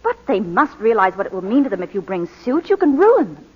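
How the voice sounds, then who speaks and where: An actress playing a devoted, anxious wife, in the emotional, theatrical style of 1940s radio drama. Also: female voice, mid-range voice, sing-song, a little fast, slightly rough. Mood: indignant. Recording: muffled, reverberant 1941 radio broadcast.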